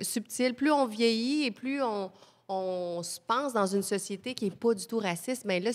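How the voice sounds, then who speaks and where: A woman's voice: medium pitch, long reverb tail. A young woman from Nunavik speaking frankly as a panelist, holding a handheld mic at a table in a community centre. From Nunavik, Quebec. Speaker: panelist, nutritionist. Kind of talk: panel discussion. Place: community centre hall, indoors.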